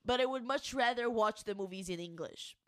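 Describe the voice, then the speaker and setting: A Brazilian-born woman whose first language is Portuguese, co-hosting a relaxed podcast. Her voice sits a little high, and she talks in a loose, chatty way, restarting sentences often. The sound is close and dry. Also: brisk, dry recording. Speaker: podcast co-host. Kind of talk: casual chat.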